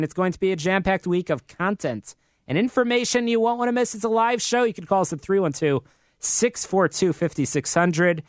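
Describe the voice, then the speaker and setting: Man, American accent, upbeat radio host, fairly high voice, dry studio sound. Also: dry recording. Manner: upbeat, promotional. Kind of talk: live business talk radio.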